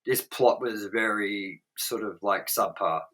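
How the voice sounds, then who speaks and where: A man's voice: on the low side, a little slow. A young man co-hosting a movie review show, talking bluntly and casually with plenty of swearing. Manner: blunt, profane and animated. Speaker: co-host.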